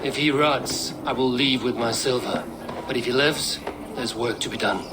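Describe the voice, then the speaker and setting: An actor playing a blunt Norse warrior who speaks in a measured, probing way. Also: male voice, mid-range voice, background noise, lightly acted. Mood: curt. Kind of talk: video game cutscene.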